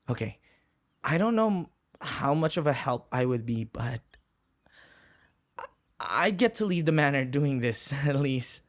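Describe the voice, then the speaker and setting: A man playing a flustered, submissive young human servant in an audio roleplay, stammering, hesitant and deferential. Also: fairly high pitch. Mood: nervous, puzzled. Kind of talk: audio roleplay acting addressed to the listener.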